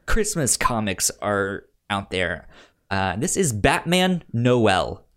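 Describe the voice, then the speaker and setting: A man co-hosting a podcast in a casual chat, speaking haltingly and stammering over words as he presents ideas. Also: fairly low pitch, very animated, fairly bright tone. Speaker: podcast co-host. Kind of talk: casual comics pitch chat.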